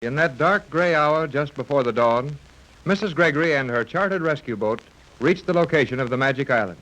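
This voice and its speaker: A man's voice: a little high, expressive, a little fast, a bit bright, rough recording. A man narrating a 1930s radio adventure serial from a script in period announcer style.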